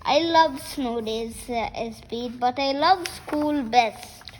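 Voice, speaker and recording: A six-year-old child reading picture books aloud in a halting, word-by-word way, as the host of a story time. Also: medium pitch, a little hiss or hum. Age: child, 6 years old.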